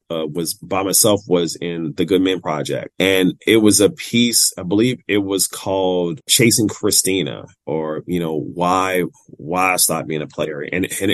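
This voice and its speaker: A man's voice: deep voice, no echo. A man in his forties from Kansas City, a writer and interview guest, speaking casually and earnestly with a stutter that makes him repeat words as he restarts. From Kansas City, Missouri. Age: 40s.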